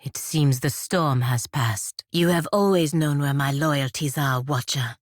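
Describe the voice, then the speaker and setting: An actress playing a proud, stern, loyal warrior envoy in a fantasy video game, speaking in a formal, deliberate, earnest manner. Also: female voice, very deep voice, no echo, studio quality. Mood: solemn. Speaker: game character voice actor. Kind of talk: scripted fantasy game dialogue.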